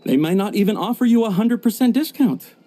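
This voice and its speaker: A man's voice: sits high, lively intonation, a little fast, mellow, slight background noise. An actor playing a flustered, evasive male tea shopkeeper in a video game, stammering nervously. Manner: stammering, nervous filler-laden speech.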